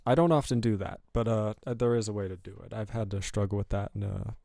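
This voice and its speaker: A man's voice: fairly low pitch, quick pace, vocal fry, no echo. Guy live coding on stream, chill and rambly, darkish dry voice. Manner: relaxed, rambling, self-correcting. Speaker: programming livestream host. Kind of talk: casual live coding chat.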